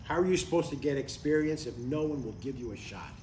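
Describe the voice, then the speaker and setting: A man's voice: medium pitch, expressive, fast talker, darkish tone, natural voice. A man in his sixties playing an earnest, stubborn father who gets defensive, in a live comedy table read. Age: 60s. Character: earnest, stubborn sixty-two-year-old father chasing a writing career. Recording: echoey live room, distant mic, faint noise.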